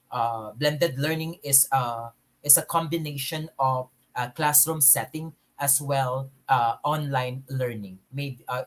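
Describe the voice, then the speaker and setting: A man's voice: mid-range voice, a bit bright. A man, a guest speaker, answering questions at an online seminar over a video call, often restarting and repeating phrases.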